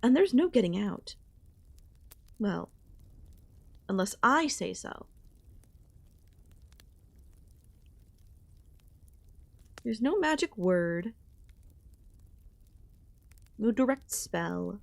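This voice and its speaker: Woman acting a slow-spoken, possessive ancient goddess queen. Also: mid-range voice, big pitch swings, creaky, no echo, full character voice. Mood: possessive, smug. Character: ancient yandere goddess queen, possessive, lonely, hungry for love. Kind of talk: ASMR audio roleplay.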